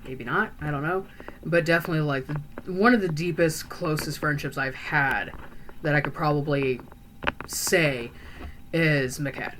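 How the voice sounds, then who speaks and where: A woman's voice: deep voice, a little slow, fairly bright tone, a little hiss or hum, rough recording. A woman playing a chatty, earnest young female vampire who talks in a rambling, hesitant way full of fillers and restarts. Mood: musing. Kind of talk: in-character roleplay monologue.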